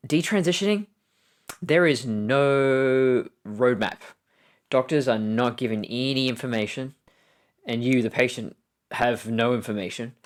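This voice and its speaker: A woman in her thirties with an Australian accent and a deep voice. She speaks frankly in an interview, halting with restarts. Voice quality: deep voice from testosterone. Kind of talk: candid recorded interview.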